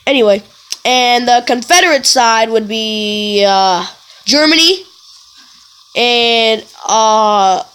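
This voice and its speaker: High-voiced woman telling rambling casual stories. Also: slow, smooth. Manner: animated, digressive, self-correcting. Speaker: video creator telling stories. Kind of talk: casual rambling storytelling to viewers.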